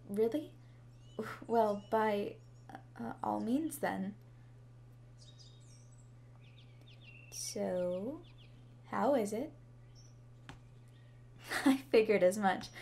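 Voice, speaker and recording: A woman playing a shy, sweet schoolgirl with a crush in an ASMR roleplay, speaking in a bashful, hesitant, rambling way. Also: mid-range voice. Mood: bashful, affectionate. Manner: bashful, hesitant, chatty, giggly. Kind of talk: ASMR roleplay, scripted to the listener. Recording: clearly audible background ambience noise.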